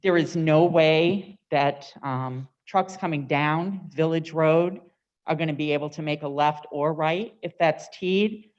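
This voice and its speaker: Low-voiced, firm woman. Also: dull audio. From Maine, USA. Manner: loud, firm, plainspoken. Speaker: resident speaking at public comment. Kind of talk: public comment at a town meeting.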